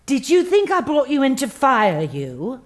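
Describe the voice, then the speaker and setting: High-voiced, syrupy upbeat HR manager. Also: female voice, wildly swooping pitch, full character voice. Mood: shocked, effusive. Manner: gushing, overly earnest, drawn-out emphasis. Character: relentlessly upbeat, syrupy, sanctimonious female human resources manager. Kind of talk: comedic stage play acting. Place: theatre stage before a live audience.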